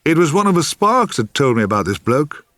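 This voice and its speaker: A man's voice: fairly high pitch, sing-song, fairly quick, fairly bright tone, dry recording, studio quality, pitched above the performer's own voice. A man voicing a brash, impatient film production assistant in an audiobook, blunt and emphatic with hissing exasperation. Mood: boastful.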